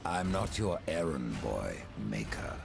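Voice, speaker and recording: Very deep, gravelly, grim horseman. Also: sing-song, a little slow, music in the background, full character voice. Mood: proud, curt. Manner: curt, dry, imperious. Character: grim, imperious, undead male horseman warrior. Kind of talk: video game acting.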